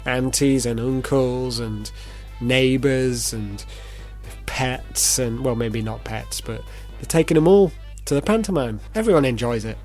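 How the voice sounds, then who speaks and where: A man's voice: mid-range voice, measured pace, over music. A man narrating a video essay in a playful, theatrical manner, with informal asides. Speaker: presenter.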